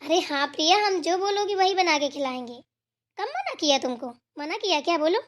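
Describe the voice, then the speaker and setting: A woman voices a dutiful elder daughter-in-law in a cartoon village family. Her voice is extremely high. She talks gently, placating everyone and offering to help. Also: very animated, fairly quick, slight background noise. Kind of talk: cartoon family drama dialogue.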